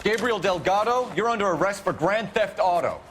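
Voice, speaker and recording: A man's voice: high-pitched, animated, brisk. A man playing an earnest, by-the-book police detective with a clipped, official manner in a crime game. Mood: urgent. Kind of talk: dramatic game acting. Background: loud music, vehicle noise.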